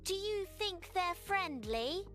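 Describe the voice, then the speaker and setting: A woman's voice: extremely high pitch, expressive, slow pace, fairly bright tone, soft background music, pitched above the performer's own voice, full character voice. A woman voicing a tiny, timid, sad little fairy girl in a bedtime story, shy and plaintive. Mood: nervous. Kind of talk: children's bedtime storybook dialogue.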